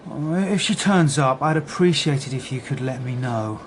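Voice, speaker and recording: An actor playing an earnest, uncertain police detective in a radio drama, hesitant and understated. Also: male voice, medium pitch, animated, normal speed, slight background noise, close to an everyday voice.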